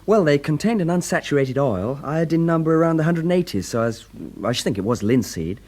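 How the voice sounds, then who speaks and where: An actor playing a keen, careful British forensic analyst, technical and precise, in a classic radio drama. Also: male voice, a little high, expressive, fast talker, darkish tone. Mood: precise, reporting. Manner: technical, eager, cautiously precise.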